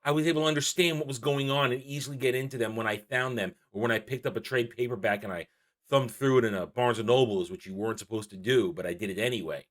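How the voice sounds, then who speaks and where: A man hosts an opinionated commentary vlog in a medium-pitched voice. He sounds exasperated and emphatic, circling back over the same phrases. Key words get stretched out for weight. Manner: exasperated, emphatic, repeats phrases with drawn-out stresses. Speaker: YouTube channel host.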